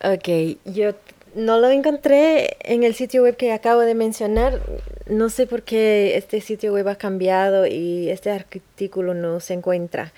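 A woman talking on her podcast in an unscripted monologue, halting, with frequent restarts and pauses. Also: mid-range voice, mellow, no room sound.

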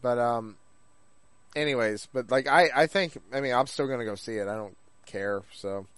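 A man's voice: mid-range voice, moderate pace, fairly bright tone, clear, resonant tone, dry recording. A man co-hosting a podcast in casual chat about anime, rambling along and acting out things people said. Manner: rambling, acts out remembered remarks. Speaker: podcast co-host.

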